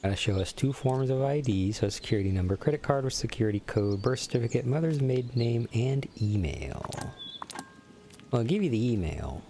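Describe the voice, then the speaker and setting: A man's voice: mid-range voice, big pitch swings, brisk, dark tone, soft background music. A man in his forties chatting casually and crudely while streaming a video game, joking with his viewers in mock-serious banter. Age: 40s. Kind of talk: casual gaming stream chat.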